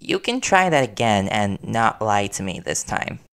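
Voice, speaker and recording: A man playing a worried reporter boyfriend in an intimate audio roleplay, earnest and halting as he gently confronts his partner. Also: fairly low pitch, big pitch swings, creaky, heavily acted. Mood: suspicious, stern.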